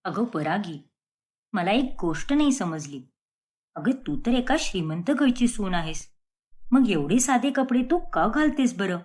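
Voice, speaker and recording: A woman voicing a snobbish, rich young woman in an animated story, lecturing others in a condescending, scornful way. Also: mid-range voice, a little slow, voice pushed down. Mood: nosy. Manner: condescending, lecturing, fashion-obsessed.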